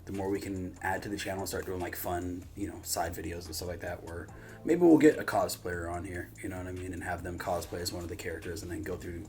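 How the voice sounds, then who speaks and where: A man's voice: sits low, steady tone, fast talker, darkish tone, soft background music. A man hosting a YouTube reaction channel, talking casually in an informal, rambling, slangy way.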